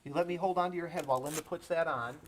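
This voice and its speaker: Male EMT for an educational demo, calming a young patient with plain explanations, slight background noise. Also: a little high, rapid-fire. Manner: reassuring, explains each step simply. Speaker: EMT with a rescue squad. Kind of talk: educational demonstration talking to a child patient.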